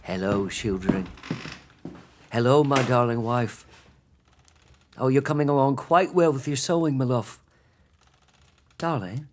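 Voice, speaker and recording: A man's voice: mid-range voice, unhurried, pauses often, slight background noise, natural voice. An actor playing a loving, grieving father who keeps a brave face, speaking tenderly and earnestly in a radio play. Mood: forced cheer. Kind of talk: theatrical radio-play acting.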